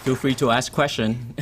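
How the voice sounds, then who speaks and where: A man's voice: mid-range voice, noisy background. Vietnamese-born man answering questions at a podium. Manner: thoughtful, many ums and restarts, laughs easily. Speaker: author answering audience questions. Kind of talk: public Q&A. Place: lecture room at a podium. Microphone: podium mic.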